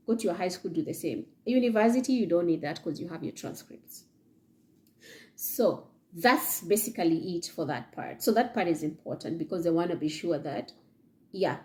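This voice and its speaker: Mellow-voiced Kenyan woman giving chatty advice. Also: on the low side. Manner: chatty, repeats "yeah?" and "okay?" to check in. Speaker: vlogger. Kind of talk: casual advice talk to camera. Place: indoor room, seated in front of leather chair.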